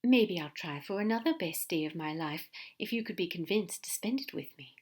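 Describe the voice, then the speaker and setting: Woman doing a gentle male angel, soft, earnest, whispery, lifted voice. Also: fairly low pitch, animated, moderate pace, natural voice. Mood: affectionate.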